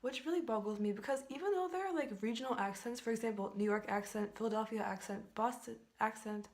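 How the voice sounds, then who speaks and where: A young American woman with a standard American accent talks straight to her camera in a small bedroom, her voice a little higher than most. She chats along in an animated way and gestures with her hands as she goes. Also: fast talker. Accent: General American. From United States. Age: young adult. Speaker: vlogger. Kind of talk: casual vlog chat.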